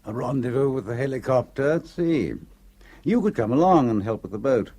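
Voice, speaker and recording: An actor playing a calm, assured ringleader of a criminal plot. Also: male voice, medium pitch, a little fast, husky. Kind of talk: 1970s radio drama acting.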